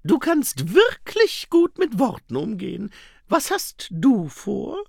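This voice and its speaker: A man reading an audiobook and voicing a practical young woman who speaks in a crisp, dry, matter-of-fact way. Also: high-pitched, huge pitch swings, takes it slowly, a bit bright, no room sound, pitched above the performer's own voice, full character voice.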